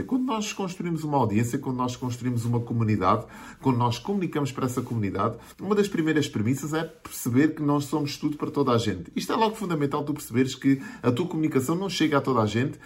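An earnest man in his forties with a European Portuguese accent talks straight to his viewers as a marketing mentor. His voice sits at an ordinary middle pitch, and he stacks his points in steady repeated lists. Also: fast talker. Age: 40s. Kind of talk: motivational talk to camera.

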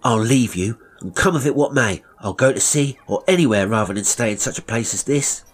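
A man's voice: mid-range voice, fairly quick, voice pushed up, natural voice. A man voicing a young apprentice boy, frightened and earnest, in a Victorian melodrama story. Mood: defiant. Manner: timid, pleading, earnest.

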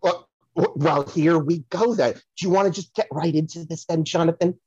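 A man's voice: on the high side, moderate pace, a little mellow, husky, no room sound, lo-fi sound. A man playing a flamboyant, touchy psychic who promotes himself and rambles effusively, repeating himself, as a comedy podcast guest. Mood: effusive, eager. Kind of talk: comedic audio play banter.

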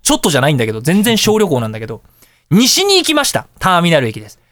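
A man hosting a radio show, telling everyday anecdotes in a casual, excitable way and acting out little exchanges. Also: on the high side, very fast, dry recording, studio quality. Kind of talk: casual storytelling radio talk.

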